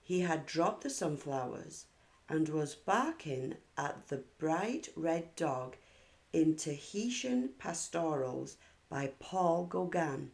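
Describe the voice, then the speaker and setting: Slow, animated woman reading a storybook. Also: low voice. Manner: measured, animated storytelling for young children. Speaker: children's book read-aloud narrator. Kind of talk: expressive scripted storybook narration.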